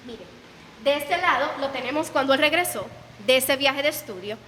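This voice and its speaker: Guía dominicana, voz aguda; explica historia en sala con eco largo. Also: female voice, high-pitched, lively intonation, normal speed, a bit bright, in a large hall, a little hiss or hum. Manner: explanatory, narrates history with quoted dialogue. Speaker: museum guide. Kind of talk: guided tour explanation. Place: indoor exhibition hall.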